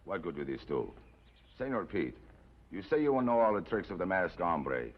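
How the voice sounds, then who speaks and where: An actor playing an aging Mexican bandit leader in an old TV western, calm and deliberate, courteous with quiet menace. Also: male voice, deep voice. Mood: measured. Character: measured, authoritative aging Mexican outlaw gang chief. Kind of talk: 1950s television western acting. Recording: dull, noisy old TV western soundtrack.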